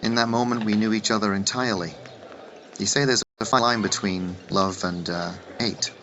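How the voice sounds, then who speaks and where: An actor playing a whimsical, eccentric time-travelling doctor who banters and jokes in a radio drama. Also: male voice, lowish voice, steady tone, moderate pace, muffled recording, slight background noise. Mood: wry, reflective. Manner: bantering, jokey. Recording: loud music bed.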